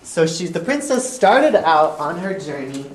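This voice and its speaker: A woman with a lowish voice and lively intonation tells a fairy tale for a children's puppet show. She uses simple, repeated phrases, and there is faint noise behind her. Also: a little slow, poor audio. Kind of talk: improvised fairy-tale storytelling.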